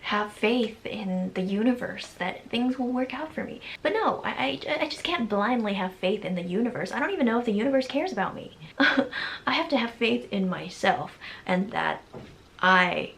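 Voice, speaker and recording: A woman's voice: a little high, expressive, normal speed, a bit bright. A woman in her early twenties talking anxiously in a casual, rambling vlog, often laughing as she speaks. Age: 20s. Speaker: vlogger.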